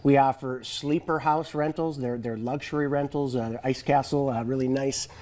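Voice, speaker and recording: Mellow-voiced man, relaxed chatty guest. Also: mid-range voice, moderate pace. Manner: relaxed and chatty, many "uh"s and restarts, chuckles often. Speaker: fishing guide and business owner. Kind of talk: casual TV studio interview. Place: TV studio set. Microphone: lapel mic.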